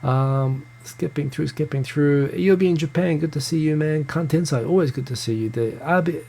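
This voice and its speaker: A man's voice: fairly high pitch, moderate pace, vocal fry, dark tone. A New Zealand man in his thirties hosting a casual live stream, reading out viewer comments with chatty restarts. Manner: chatty, stumbling restarts, greets viewers by name. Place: home room in Tokyo.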